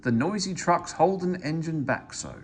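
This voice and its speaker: A big, proud storybook steam engine, acted out by a man reading aloud. He is boastful and grumbling, with a very animated, swooping delivery. There is faint noise behind the voice. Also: on the high side, moderate pace, pitched above the performer's own voice, natural voice.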